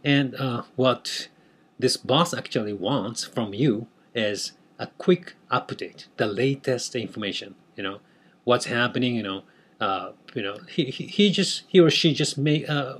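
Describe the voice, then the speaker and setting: Hesitant Japanese-accented man explaining slang. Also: medium pitch. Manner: hesitant, many fillers and restarts. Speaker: language teacher. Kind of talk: unscripted explainer video.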